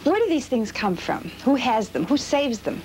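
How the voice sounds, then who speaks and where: A woman's voice: fairly high pitch, big pitch swings, normal speed, slight background noise, rough recording. A woman interviewer hosting, asking short, direct questions. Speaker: host and interviewer. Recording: loud music over old videotape.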